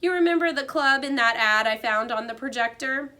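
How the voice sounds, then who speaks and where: A woman voicing a friendly, excited male night janitor in a children's storybook, chatty and exuberant. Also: high-pitched, a little fast, voice pushed up. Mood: happy.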